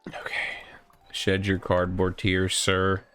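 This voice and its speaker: A man's voice: deep voice, monotone, slow pace, a bit bright, smooth. A man giving casual, wry gaming commentary, muttering exclamations as he plays. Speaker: let's play host. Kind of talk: casual gaming commentary, reading game text aloud. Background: game music.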